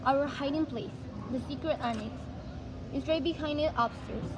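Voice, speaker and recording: Woman acting excitable high-voiced young girl Anne. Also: normal speed, background noise, lightly acted. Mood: reflective narrating. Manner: eager, exclaiming. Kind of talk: amateur stage dramatic reading.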